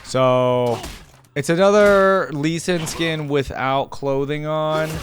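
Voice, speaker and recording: A man's voice: fairly high pitch, slow pace, soft background music. A man giving casual, informal reaction commentary, rambling along as he talks straight to his viewers. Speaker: reaction video host.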